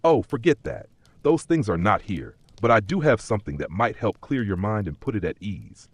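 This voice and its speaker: A mischievous male keeper of a magical pub, acted by a man, for a dark fantasy audio story. He teases in cryptic wordplay with very animated pitch. The voice is husky and recorded close and dry. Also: moderate pace, no room sound. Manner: teasing, riddling, playful wordplay.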